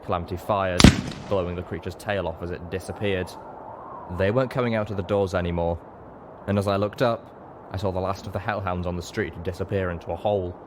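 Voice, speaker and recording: Low-pitched male story narrator. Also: fairly even intonation, fairly quick, background noise. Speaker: audiobook narrator. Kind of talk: dramatic scripted story narration.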